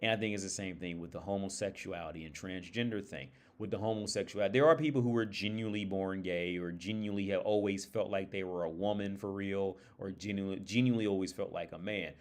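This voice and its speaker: Low-voiced man rambling casually on a livestream. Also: fairly even intonation, fast, hardly any pauses, a little mellow. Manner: profane, informal, repeats and restarts phrases often. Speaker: livestream host.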